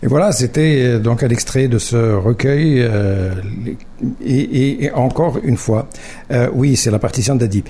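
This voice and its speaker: A man's voice: mid-range voice, unhurried, mellow. A man hosting a radio interview in a studio, talking with many restarts and fillers. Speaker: radio host.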